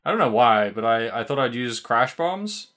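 A man's voice: mid-range voice, very animated, normal speed, fairly bright tone. A man co-hosting a podcast, chatting casually about a video game, rambling with many fillers and restarts and laughing often. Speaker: podcast co-host.

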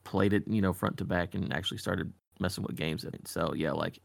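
A man's voice: low-pitched, steady tone, fairly quick, creaky, dry recording, studio quality. A man in his thirties chatting laid-back on a podcast, swearing casually. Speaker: podcast co-host. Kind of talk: casual chat.

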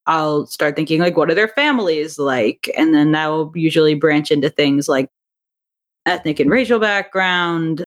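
A woman in a casual interview chat, talking in an animated way and acting out bits of quoted thought as she explains her creative work. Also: low-pitched. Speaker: interview guest, audio drama writer and director.

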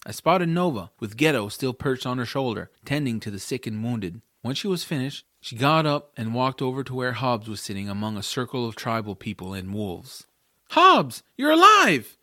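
A man's voice: mid-range voice, no room sound, studio quality. A man narrating a first-person fantasy audiobook as scripted storytelling.

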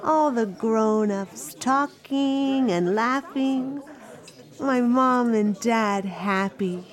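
A woman singing in a musical theatre style with nostalgic, drawn-out phrasing. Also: fairly high pitch.

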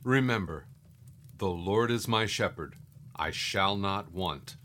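A man acting as a calm, mysterious guide in an audio drama, reassuring and instructive. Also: low voice, slow pace, exaggerated voice. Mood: calm, assured.

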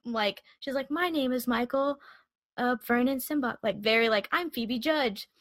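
This is a woman co-hosting a relaxed podcast, talking fast and bubbly. Her tone is bright and forward, sitting a little higher than most. The sound is dry and close, with almost no room. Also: no echo. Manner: bubbly, quick laughs, chatty plugs. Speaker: podcast co-host. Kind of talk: casual chat.

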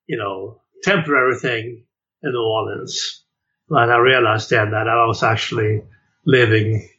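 An older man from Sweden recalls his life in a relaxed interview. His voice is bright and forceful, and he stumbles through fillers and restarts. The audio sounds dull and lo-fi. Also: mid-range voice. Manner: hesitant, many uh and repeated words. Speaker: jazz clarinetist guest.

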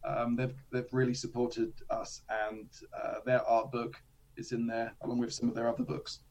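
A man, a slightly hoarse guest chatting casually about tabletop games, with self-deprecating asides. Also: mid-range voice, monotone, moderate pace, darkish tone, poor audio. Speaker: guest, tabletop game designer. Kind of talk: casual interview chat.

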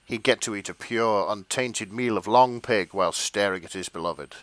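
A young man with a slightly deep voice narrates fan fiction as comedy. He reads it as a dramatic story, and the sound is dry with no room around it. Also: normal speed, a bit bright, no echo. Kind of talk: comic dramatic reading.

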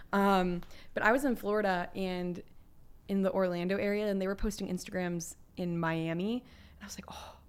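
A woman's voice: fairly low pitch, a bit bright, clear, resonant tone. Chatty young woman co-hosting a podcast. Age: young adult. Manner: chatty, emphatic, youthful slang. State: recovering from flu, voice lower than usual. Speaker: podcast co-host. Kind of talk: casual chat podcast.

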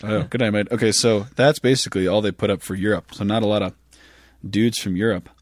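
A man's voice: fairly low pitch, fairly even intonation, fairly quick, dry recording, studio quality. A man in his thirties co-hosting a podcast in casual chat, joking and laughing, stumbling over foreign names. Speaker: podcast co-host.

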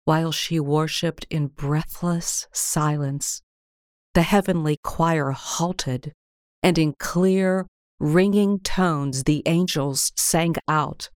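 A woman narrating a scripted storybook tale in a measured, gentle storytelling manner. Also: low voice, fairly even intonation, no room sound, clean studio sound. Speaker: story reader.

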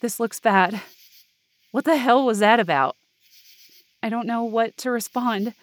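A high-pitched female voice tells a story in the first person as an anxious young woman played by an actress. The delivery is scripted, immersive and tense, in the manner of a suspense audio drama. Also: measured pace, dry recording, lightly acted. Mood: shaken. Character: anxious young woman narrating her frightening night hike.

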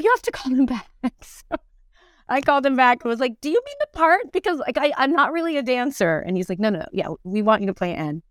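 A middle-aged woman telling stories casually as an interview guest, animated, rambling and self-deprecating. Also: high voice, smooth, no room sound, studio quality. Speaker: interview guest, musical theatre performer and teacher. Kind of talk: casual conversational storytelling.

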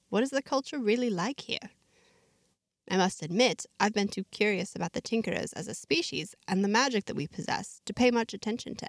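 A woman narrating a first-person story in a measured, reflective, diary-like way, ending with a scripted sign-off. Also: medium pitch, no echo, clean studio sound.

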